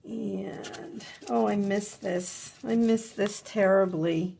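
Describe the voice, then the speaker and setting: A middle-aged woman talks slowly and easily as she crafts at her desk, thinking out loud and repeating little words to herself. Her voice carries a slight stuffiness from a cold, and her pitch is mid-range. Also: steady tone, creaky, slight background noise. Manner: chatty, musing aloud, repeats words to herself. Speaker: craft video host. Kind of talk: relaxed crafting tutorial narration. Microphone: overhead camera above a desk, hands only.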